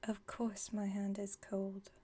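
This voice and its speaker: A woman playing an aloof, wry vampire friend with a secretly caring side, in a soft, breathy ASMR roleplay, speaking in a dry, teasing, unhurried way. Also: mid-range voice, monotone. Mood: wry, gently comforting. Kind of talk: ASMR roleplay, intimate monologue.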